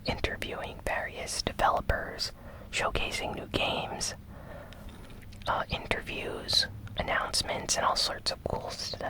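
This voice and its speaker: An ASMR host recapping news entirely in a breathy, unvoiced whisper, with gentle, measured phrasing and short pauses. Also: rough recording. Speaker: host of a gaming news show.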